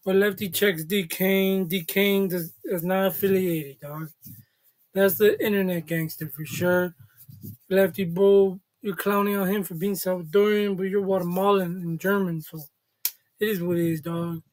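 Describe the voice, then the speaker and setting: A man's voice: high voice, steady tone, normal speed, rough recording. A man in his thirties hosting a reaction video, talking in laid-back street slang in a small room. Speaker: reaction channel host. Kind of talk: casual reaction commentary. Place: small indoor room, seated at a desk.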